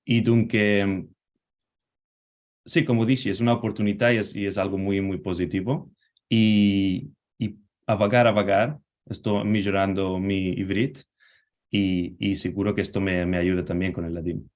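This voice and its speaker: A man from Spain answering interview questions over a video call, speaking in a measured, halting way and correcting himself as he goes. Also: deep voice, flat delivery, a little mellow. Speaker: guest.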